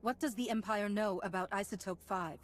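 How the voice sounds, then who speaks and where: An actress playing a steadfast, confident heroine in a video game, composed and assured. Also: female voice, mid-range voice, a little fast, a little hiss or hum, natural voice. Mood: firm. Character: steadfast, confident female Jedi hero. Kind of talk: video game character acting.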